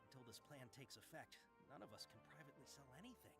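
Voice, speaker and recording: A weary, apologetic merchant. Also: male voice, mid-range voice, big pitch swings, quick pace, creaky. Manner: apologetic, explaining at length. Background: game music.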